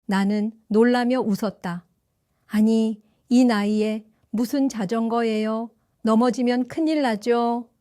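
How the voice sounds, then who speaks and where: A woman in her seventies narrating her own story for an audio drama, in a gentle, reflective and wistful way. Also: on the high side, fairly even intonation, slow, dry recording, studio quality. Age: 70s. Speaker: first-person narrator.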